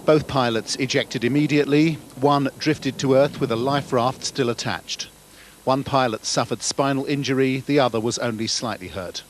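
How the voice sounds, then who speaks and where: A man's voice: medium pitch, moderate pace. A British man narrating a scripted television news report as a reporter. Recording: archive TV report, noisy outdoor ambience.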